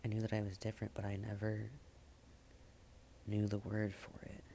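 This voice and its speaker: A man performing spoken-word slam poetry in a halting manner, with dramatic pauses, repeated phrases and hushed endings. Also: on the low side, completely flat delivery, gravelly, slight background noise. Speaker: slam poet.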